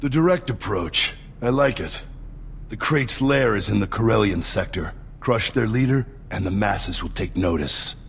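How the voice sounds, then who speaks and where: An actor playing a blunt, rough street rebel in a video game, talking in clipped, colloquial phrases. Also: male voice, fairly low pitch, expressive, normal speed, in a large hall, slight background noise. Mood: gruff, defiant.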